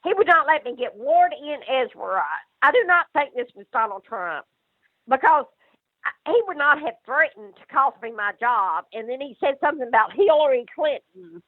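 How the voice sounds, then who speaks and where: Halting woman on a tinny phone line. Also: high voice, animated, no echo. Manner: halting, hesitant, repeats herself. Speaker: prank call recipient. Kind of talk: unscripted phone conversation and voicemail. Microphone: telephone.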